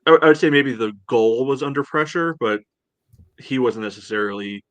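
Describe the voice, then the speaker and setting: A man's voice: mid-range voice, moderate pace, fairly bright tone, no echo. A man co-hosting a soccer podcast, talking in a casual, hedging, conversational way. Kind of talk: casual sports discussion.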